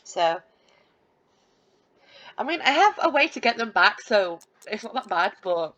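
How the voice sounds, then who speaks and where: A woman's voice: mid-range voice, very animated, a little slow, a bit bright, lo-fi sound. A woman casually narrating her life-sim gameplay, rambling with many fillers and restarts, and poking fun at herself. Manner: self-deprecating, lightly laughing. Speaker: let's play gamer.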